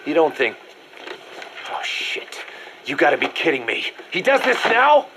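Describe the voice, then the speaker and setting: An actor playing a shrewd, pragmatic mercenary second-in-command in a video game, talking in a businesslike, calculating way. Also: male voice, on the high side, huge pitch swings, a little slow, noisy background. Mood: alarmed. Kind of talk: dramatic video game dialogue.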